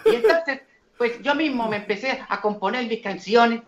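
A man's voice: extremely high pitch, expressive, moderate pace. Singer-comedian guest, man, raised in the Dominican Republic; hesitant, restarts a lot; roomy, dull sound. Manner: hesitant, many fillers and restarts. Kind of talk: casual interview chat. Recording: echoey and dull.

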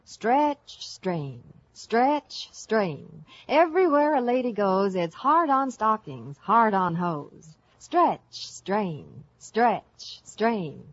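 A woman in a 1950s radio commercial, rhythmic and unhurried with wide pitch swings. The recording is dull and old. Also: sing-song. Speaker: commercial announcer. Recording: dull, faintly noisy old radio broadcast.

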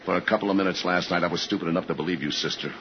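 An actor with an American accent playing a brusque, sarcastic, suspicious police sergeant who talks in wisecracking slang in a 1950s radio thriller. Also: male voice, deep voice, racing, lightly acted. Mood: sarcastic, needling. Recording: dull, noisy 1950s radio recording.

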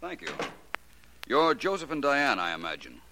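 An actor in a 1940s radio drama playing a suave, unflappable detective, polite, urbane and dryly knowing. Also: male voice, medium pitch, huge pitch swings, moderate pace, bright, forceful tone, slight background noise, heavily acted. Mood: polite, probing.

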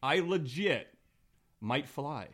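A man from Canada with a deep voice, in his thirties, co-hosting a podcast in relaxed, casual chat. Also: slow pace. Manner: relaxed and chatty, trailing off quietly at phrase ends. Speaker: podcast co-host.

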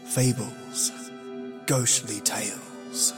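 A man's voice: fairly low pitch, fairly even intonation, drawn-out words. Playful male storytelling podcast host over guitar. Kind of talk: scripted theatrical podcast introduction. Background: plucked guitar music.

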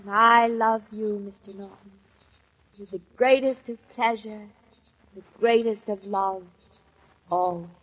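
An actress in a 1940s radio drama playing a playful, tender young newlywed wife, with a bright, teasing and dreamy way of talking on an old transcription. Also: female voice, medium pitch, fairly even intonation, measured pace, pauses often, lo-fi sound, close to an everyday voice. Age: young adult.

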